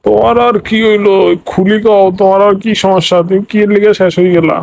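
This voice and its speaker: A man puts on a smug older cartoon husband with a voice pitched well up. He nags and mocks quickly in a regional Bangladeshi Bengali dialect, full of reproach. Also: level tone, creaky, darkish tone. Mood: curious, dismissive. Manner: mocking, I-told-you-so nagging. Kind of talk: comic cartoon dialogue.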